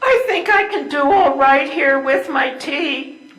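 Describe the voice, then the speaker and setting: Older lady acting plaintive abandoned wife in a stage melodrama, high voice, echoey room. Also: takes it slowly, a bit bright. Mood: resigned. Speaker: amateur readers theater performer. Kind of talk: stage play reading.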